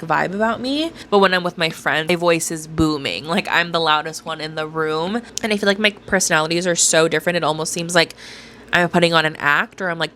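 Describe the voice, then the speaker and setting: A woman's voice: lowish voice, fairly bright tone, a little hiss or hum. A young woman vlogging casually to camera, chatty with lots of filler words and casual swearing, on a small clip-on mic. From Orange County, California. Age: young adult. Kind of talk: casual talking-to-camera vlog.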